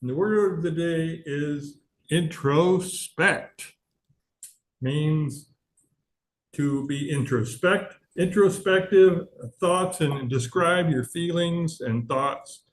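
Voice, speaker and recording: Man, slow halting talk, somewhat high voice, lots of uh and restarts, video call. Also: slight background noise. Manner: halting, many fillers and restarts. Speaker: meeting grammarian. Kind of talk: hesitant club meeting remarks.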